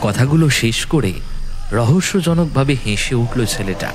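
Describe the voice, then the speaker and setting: A man's voice: medium pitch, expressive, unhurried. A man narrating a scripted horror story in a dramatic storytelling style. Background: music.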